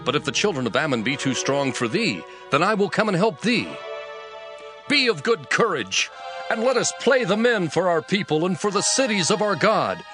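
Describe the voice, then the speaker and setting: A bold army captain rallies his men with a high, animated voice that climbs as he urges courage. He uses stately biblical wording, and a music bed sits clearly under him. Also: normal speed. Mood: rousing. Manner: rousing, urgent exhortation.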